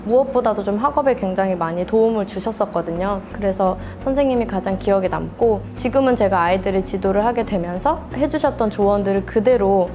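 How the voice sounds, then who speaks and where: A woman with a medium-pitched voice speaks as a tutor in a testimonial interview. She sounds sincere and reflective, with music plainly audible behind her. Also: fairly quick, few pauses. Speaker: tutor at an education company.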